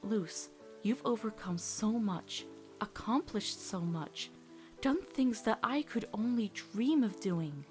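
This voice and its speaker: A woman voicing a gentle, steadfast teenage witch girl, speaking in a measured, reassuring way in a dramatic comic dub. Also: medium pitch, expressive, soft background music. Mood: tender, admiring.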